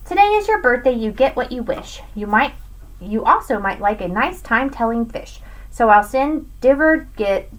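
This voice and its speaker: A woman in her thirties reading rhyming children's verse aloud like a teacher, in an expressive, emphatic sing-song storytelling manner. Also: on the high side, low-quality recording. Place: small indoor room with bookshelves.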